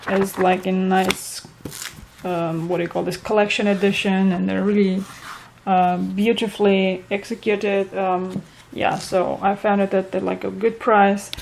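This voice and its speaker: A woman's voice: mid-range voice, level tone, measured pace, vocal fry, darkish tone, a little hiss or hum. Chatty woman with a Romanian accent. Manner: chatty, searching for words, light laughs. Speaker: vlogger. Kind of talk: casual vlog update.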